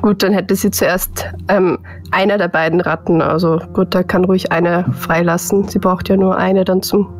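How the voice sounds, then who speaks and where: A woman's voice: lowish voice, steady tone, moderate pace, soft background music. A woman casually narrating her character as a player in a tabletop role-playing game.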